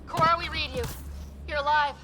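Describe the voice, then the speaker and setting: A woman's voice: sits high, fairly even intonation, brisk, close to an everyday voice. A woman playing a young, level-headed recon specialist in a video game, speaking in a dry, casual way. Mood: urgent. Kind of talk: naturalistic video game dialogue acting. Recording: game audio over loud ambient noise.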